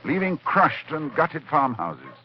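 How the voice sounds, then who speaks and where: An actor playing a driven, fearful scholarly doctor with an intense, dramatic delivery in 1940s radio horror style. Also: male voice, fairly high pitch, wildly swooping pitch, slow, slight background noise, heavily acted. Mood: grave, haunted. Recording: dull old radio recording with music.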